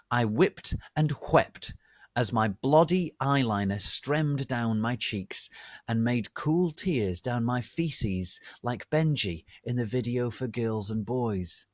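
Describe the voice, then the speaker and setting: A man giving a theatrical, deliberate dramatic reading of fan fiction as its narrator. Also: medium pitch, no room sound, studio quality. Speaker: narrator, reader.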